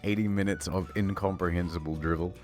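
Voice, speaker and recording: A man with a mid-to-low voice reads absurd fan fiction as a scripted comic narration. Faint music plays behind him as he tells it. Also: fairly even intonation, fairly quick, a little mellow. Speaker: narrator of a comic reading video.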